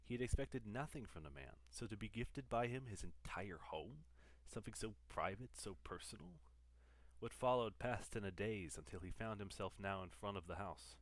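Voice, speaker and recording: A male narrator reads a scripted audio drama. His voice sits fairly low, and the sound is close and dry with little room to it. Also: lively intonation, moderate pace, dry recording.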